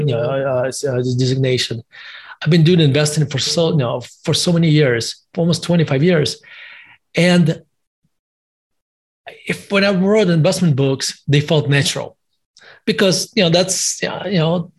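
A middle-aged man with a Russian accent, talking as a guest in an interview, halting and restarting often. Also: fairly high pitch, slightly rough. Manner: halting, many restarts, self-deprecating. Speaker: guest author and investor. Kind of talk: interview conversation.

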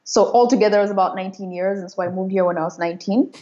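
A woman in her late twenties from East and southern Africa shares personal experience as an interview guest. Her pitch is middling for a woman and her delivery is conversational and reflective. The recording sounds slightly dull and lo-fi. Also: very fast, warm tone. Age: late 20s. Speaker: podcast guest.

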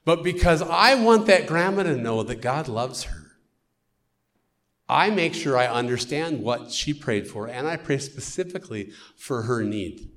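A man's voice: mid-range voice, animated, unhurried, fairly bright tone. A middle-aged American man, a missionary, giving an earnest storytelling testimony in church. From United States.

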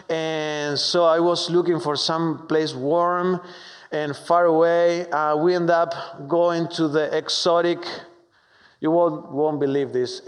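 A man from Argentina with a strong Argentine Spanish accent, preaching a sermon with warm, humorous, halting storytelling full of fillers. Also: fairly high pitch. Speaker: pastor. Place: church sanctuary. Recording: long hall reverb.

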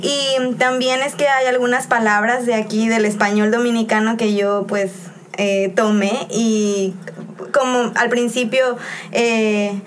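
A woman's voice: a little high, steady tone, a little slow, a little hiss or hum. Chatty young Mexican woman telling stories. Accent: Mexican, from Xalapa. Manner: chatty storytelling with many fillers and restarts. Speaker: missionary guest. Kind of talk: casual conversation on a podcast.

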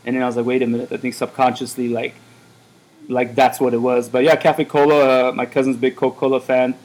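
A man's voice: medium pitch, flat delivery, a little fast, darkish tone, poor audio. A man in his thirties, born in Iran, who runs a café, chatting casually in an interview and rambling with lots of fillers and restarts. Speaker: café owner.

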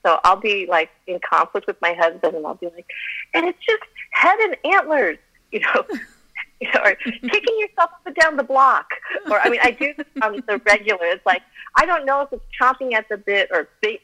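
A woman's voice: a little high, very animated, moderate pace, sharp tone. A middle-aged woman from Colorado whose first language was Chinese, chatting as a podcast guest with a giggly, self-deprecating manner. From Colorado, USA. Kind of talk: casual interview chat. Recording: phone line.

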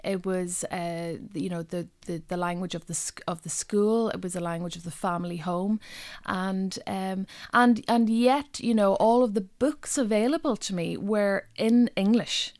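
A woman poet from Donegal in her forties with a Donegal Irish accent, Irish her first language, reading a poem and answering interview questions in a thoughtful, measured way with many pauses. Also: mid-range voice, a little mellow, no room sound. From North West Donegal, Ireland. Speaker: poet, guest.

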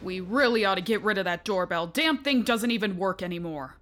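A woman's voice: medium pitch, fairly quick, background noise, close to an everyday voice. A woman playing an irritable, cheeky bird guarding a door in a comic audio drama. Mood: irritated.

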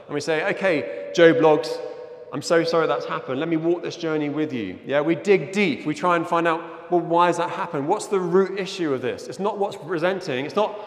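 In a reverberant, echoey hall, a middle-aged man preaches in a fairly high voice. He is from Zimbabwe and speaks as an earnest, conversational church leader, regularly checking that his listeners follow. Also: moderate pace. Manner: earnest, conversational, often seeking agreement with "yeah?". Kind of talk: informal sermon with slides.

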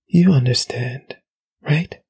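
Man voicing a breathy, ancient female forest spirit. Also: mid-range voice. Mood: coaxing, menacing. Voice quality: breathy, half-whispered. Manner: slow, hushed, deliberate. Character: ancient female spirit voice, soft, eerie, coaxing. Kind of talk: audiobook storytelling.

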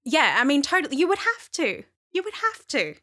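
A woman co-hosting a podcast in casual chat, agreeable and quick to affirm what her co-host says. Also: high voice, huge pitch swings, pushed and bright.

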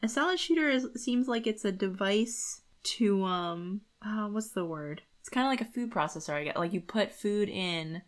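A woman co-hosting a podcast, chatting casually about a book in an animated, colloquial way. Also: mid-range voice, moderate pace, few pauses. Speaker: podcast co-host. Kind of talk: casual book discussion chat.

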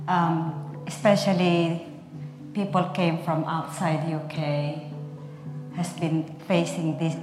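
Woman telling her story slowly, lowish voice, music playing under her. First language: Tagalog. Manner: halting, soft-spoken, earnest. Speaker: care worker sharing a testimony. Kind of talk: recorded personal testimony. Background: soft music.

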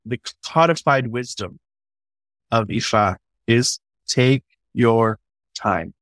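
A man's voice: medium pitch, slow, a bit bright, dry recording. A man speaking in an enthusiastic, conversational way, swearing casually and often restarting his sentences. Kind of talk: conversational podcast discussion.

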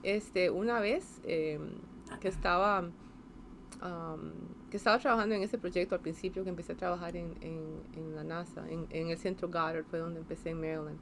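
A woman's voice: on the low side, steady tone, moderate pace, slight background noise. A woman in her fifties with a Costa Rican accent, answering interview questions in a casual, hesitant way, with many fillers, restarts and tag questions. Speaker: engineer interviewee.

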